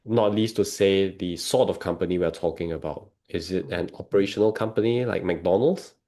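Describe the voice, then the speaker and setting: A man speaks as an interview guest. He explains things in a measured, conversational way, like a coach, checking in with the listener as he goes. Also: low-pitched, warm tone. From Singapore.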